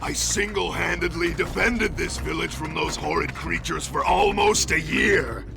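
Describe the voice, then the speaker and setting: A man's voice: a little high, unhurried, creaky. A man voicing a cowardly, self-pitying male village warrior in a video game, talking in a pompous, theatrical, whining way. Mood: melodramatic. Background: game music.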